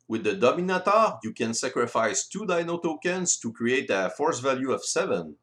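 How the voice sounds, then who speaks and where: A man's voice: medium pitch, fairly bright tone. French-accented man explaining game rules. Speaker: board game designer and presenter. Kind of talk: explanatory tutorial voice-over.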